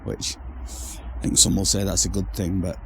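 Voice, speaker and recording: A hoarse man who has nearly lost his voice, chatting casually to camera about his outdoor building project with rambling restarts. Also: sits low, little pitch movement, measured pace, a little hiss or hum. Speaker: vlogger building a pizza oven shelter. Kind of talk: casual to-camera DIY chat. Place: outdoor building site in a garden.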